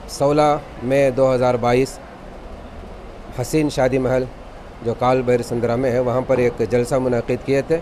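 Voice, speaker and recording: A man's voice: medium pitch, darkish tone, slight background noise. A man, an imam making a formal, devout spoken statement to a news camera. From Karnataka, India. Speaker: imam and khatib.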